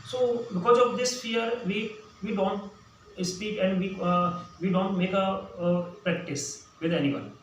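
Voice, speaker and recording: A high-voiced man with an Indian accent, a Hindi native speaker, teaches English in a slow, hesitant way, full of "uh" and restarts. He sits away from the mic in a very echoey room. A faint hiss runs under his voice. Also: distant mic. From central India. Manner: hesitant, many fillers and restarts. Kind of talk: spoken English lesson.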